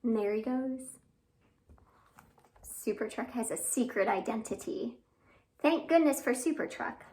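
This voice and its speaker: A woman's voice: a little high, a little slow, pauses often, a little mellow. A woman hosting a children's storytime, reading expressively with asides and questions to young listeners. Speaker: library storytime host.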